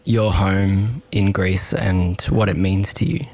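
A man interviewing a guest, asking measured, gently prompting questions. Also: deep voice, fairly even intonation.

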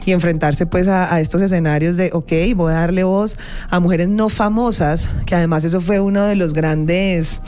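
A woman's voice: lowish voice, normal speed, slight background noise. A woman from Cali with a Colombian accent, chatting casually as a podcast guest in an interview. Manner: animated, laughing, uses vos.

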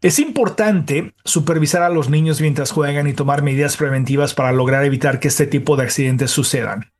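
A man with a somewhat higher voice hosts a medical talk show. He delivers health information in a measured, even way and then welcomes his guest warmly. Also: slightly rough. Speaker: radio show host, doctor.

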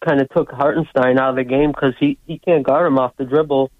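A tinny, dry phone line carries a man calling into a sports show. His pitch moves very little. He speaks casually and haltingly, leaning on filler phrases. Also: steady tone, dry recording. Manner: hesitant, says "you know" constantly. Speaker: caller to a sports radio show. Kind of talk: casual basketball breakdown. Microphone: phone call.

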